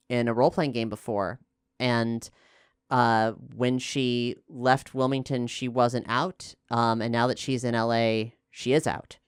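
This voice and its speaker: Man, American accent, medium pitch, resonant, dry recording, casual discussion, halting and self-correcting. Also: clear, resonant tone, no room sound. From Florida, United States. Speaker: actual play podcast player and co-host.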